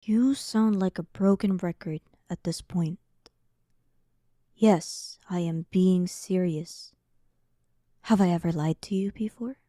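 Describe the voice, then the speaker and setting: A woman plays a dominant demon queen in an intimate roleplay audio. Her voice is very dark and velvety, with a soft breathy edge, and she takes her time over every phrase. She sounds haughty and bored, teasing her listener with dry, condescending sarcasm, all in a close, dry recording. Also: fairly low pitch, slow pace, long pauses, dry recording. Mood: coaxing, smug. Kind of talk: scripted roleplay audio, intimate one-sided dialogue.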